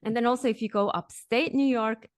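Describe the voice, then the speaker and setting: A middle-aged woman who grew up in Switzerland, a podcast guest telling her story in an animated, upbeat way. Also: a little high, a little fast, dry recording, studio quality. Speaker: podcast guest, executive coach. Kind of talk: conversational interview.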